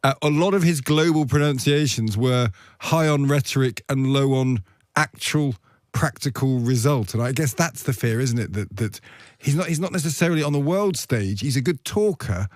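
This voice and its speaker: A man interviews a guest on politics with a probing, questioning delivery. His pitch is average and the sound is dry, with very little room in it. He often turns statements into questions. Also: moderate pace, no room sound. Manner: questioning, rhetorical tag questions.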